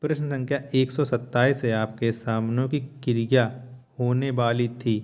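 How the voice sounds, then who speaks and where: A man's voice: mid-range voice, darkish tone. A man teaching a lesson, methodically reading out quiz questions and repeating each key answer after a rhetorical question. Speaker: Hindi grammar teacher for exam prep.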